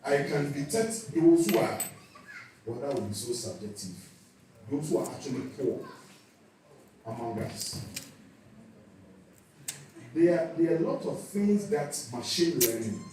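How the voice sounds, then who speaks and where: A man's voice: fairly high pitch, lively intonation, unhurried, long pauses, mellow, a little hiss or hum. A man with a Ghanaian accent giving a talk at a church youth session. He often repeats a word while searching for the next one. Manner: repeats words while searching for them, then speeds up. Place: church hall. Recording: echoey church hall, mic at a distance.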